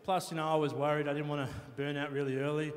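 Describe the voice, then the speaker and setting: A man's voice: on the high side, quick pace. Easygoing man in an echoey arena. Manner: casual, easygoing, relaxed. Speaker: competitor being interviewed. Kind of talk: post-match interview. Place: competition arena. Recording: echoey hall with long reverb.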